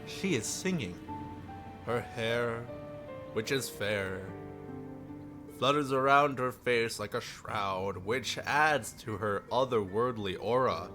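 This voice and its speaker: A man's voice: mid-range voice, slow pace, pushed and bright. A man livestreaming a visual novel, reading aloud theatrically and breaking off for playful, jokey asides. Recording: music behind the voice. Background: faint music.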